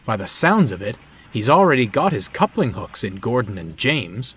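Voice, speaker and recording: A man's voice: medium pitch, very animated, moderate pace, fairly bright tone, breathy edge, heavily acted. A man voicing a kindly, experienced steam engine with a friendly but authoritative manner in a children's story. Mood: stern.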